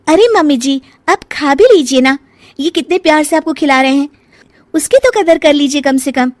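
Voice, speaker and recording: A woman's voice: high-pitched, normal speed, darkish tone, no room sound, voice pushed up, close to an everyday voice. A woman voicing a caring, cheeky young daughter-in-law in a cartoon story, coaxing and pointed. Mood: concerned, coaxing. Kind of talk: cartoon family story dialogue.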